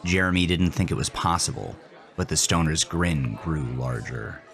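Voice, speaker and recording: A man hosting and narrating a scripted horror story in an intense, deliberate, dramatic way. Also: extremely low pitch, expressive, a bit bright. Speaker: host, author and narrator.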